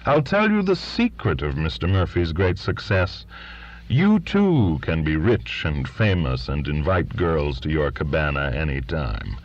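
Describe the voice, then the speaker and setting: A man voicing a drunk, mocking middle-aged male writer who taunts loudly. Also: extremely low pitch, vocal fry, breathy edge, highs cut off, pitched below the performer's own voice, full character voice. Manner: loud, sneering, slurred taunting.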